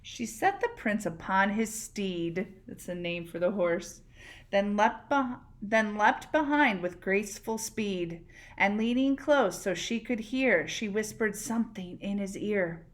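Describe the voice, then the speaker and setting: A woman reading a picture book aloud expressively, pausing to explain words and ask questions. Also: medium pitch. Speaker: read-aloud storyteller, teacher.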